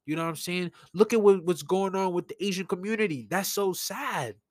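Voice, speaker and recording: A man from New York City with a Brooklyn accent, ranting and telling stories casually in an animated, slangy way, as a solo podcast host. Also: high voice, fairly quick, no echo, studio quality. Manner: animated, informal, slangy, acts out conversations. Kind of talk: casual solo rant and storytelling.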